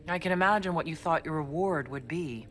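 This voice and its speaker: A man voicing the game's Inquisitor hero, calm and direct, asking questions in a cutscene. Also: fairly high pitch, a little fast, faint music behind, lightly acted. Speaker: player character, the Inquisitor. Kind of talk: video game cutscene dialogue.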